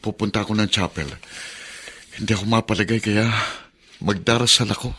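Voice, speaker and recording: A devoted, anxious father in a radio drama. His low voice is husky yet forceful, carrying the strain of worry for his son. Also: fairly even intonation, fairly quick. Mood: troubled. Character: anxious, devoted father of a gravely ill boy.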